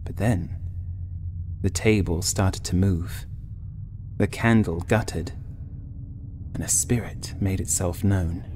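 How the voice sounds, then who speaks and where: A man reading a scripted first-person horror story as an audiobook narrator, in a measured, literary way. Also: fairly low pitch, mellow, slight background noise.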